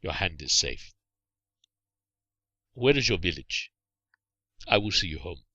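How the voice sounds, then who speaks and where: A man voicing a stern but merciful Saracen warrior in a storybook, speaking in a measured, formal, commanding way. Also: sits low, very animated, sharp tone, no echo, exaggerated voice. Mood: grave, kindly.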